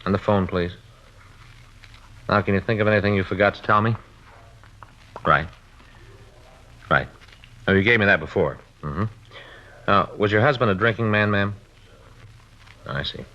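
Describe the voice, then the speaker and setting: An actor playing a plain-spoken, slightly grumbling police detective in an old radio drama, with a casual, routine manner. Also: male voice, fairly low pitch, moderate pace, long pauses, sharp tone. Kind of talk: 1950s radio drama acting. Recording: dull, faintly noisy old radio recording.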